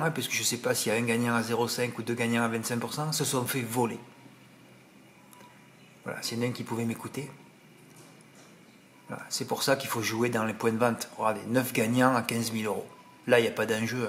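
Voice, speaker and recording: A man's voice: mid-range voice, fairly even intonation, fairly quick, long pauses, a little hiss or hum. A man with a regional French accent giving horse racing tips in an indignant, rambling monologue, peppered with tag words. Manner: indignant, rambling, full of "hein" and "voilà". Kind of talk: casual monologue to subscribers.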